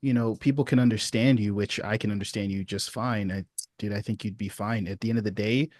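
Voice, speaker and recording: A man hosting a group call as a sales coach, giving relaxed, encouraging feedback with a casual manner. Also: fairly low pitch, a little fast, no room sound, studio quality.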